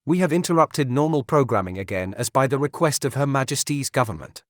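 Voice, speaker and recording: A man playing a grave emergency broadcast announcer, reading a scripted bulletin in a formal, measured way. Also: mid-range voice, expressive, no echo, clean studio sound, natural voice.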